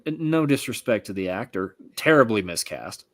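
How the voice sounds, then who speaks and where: A man co-hosting a casual discussion, thinking aloud in a halting, informal way. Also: on the low side, animated. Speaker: co-host.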